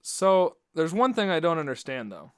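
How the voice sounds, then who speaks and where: A man's voice: on the high side, big pitch swings, moderate pace, smooth, no room sound, clean studio sound. A man hosting and announcing a scripted radio drama in an interviewer's conversational style. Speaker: host and announcer.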